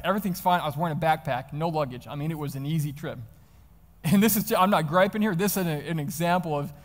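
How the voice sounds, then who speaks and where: An American man giving a motivational talk to an audience, telling personal stories in an animated, conversational way. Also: fairly high pitch. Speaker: motivational speaker. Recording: reverberant hall, live stage sound.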